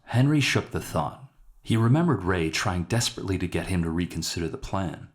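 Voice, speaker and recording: A man reading a dramatic short story as narrator, in a somber and measured way. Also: deep voice, animated. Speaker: author narrating his own short story.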